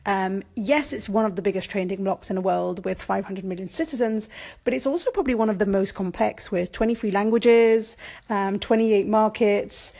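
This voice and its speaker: A woman's voice: mid-range voice, darkish tone. A woman from France and Brussels hosting a solo podcast, talking things through in a conversational, thoughtful way with frequent ums and self-corrections. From born in France, raised in Brussels. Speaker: podcast host. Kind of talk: solo podcast monologue on business.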